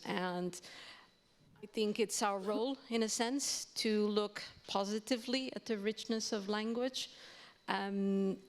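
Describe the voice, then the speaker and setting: A woman's voice: lowish voice, creaky. A woman whose first language is Portuguese, speaking as a panelist in a panel discussion and reflecting aloud as she searches for words.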